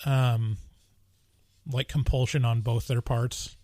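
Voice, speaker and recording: A man's voice: mid-range voice, flat delivery, takes it slowly, darkish tone, dry recording, clean studio sound. A man in casual movie review chat, talking loosely with lots of "like" and "you know" and the odd laugh. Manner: chatty, frequent "like" and "you know", laughs between points.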